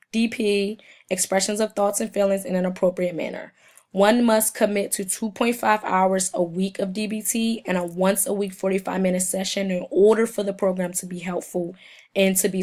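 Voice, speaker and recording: Hoarse young woman giving a presentation. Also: medium pitch, darkish tone. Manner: reads from prepared notes, halting in places. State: hoarse, sniffling from a cold. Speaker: student presenter. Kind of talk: recorded class presentation.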